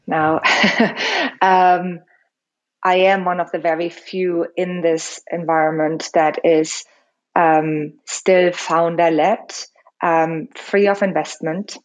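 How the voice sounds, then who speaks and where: A middle-aged woman from Germany with a German accent, answering thoughtfully in a podcast interview, with many pauses and restarts. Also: fairly low pitch, highs cut off. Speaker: founder of a fitness studio chain.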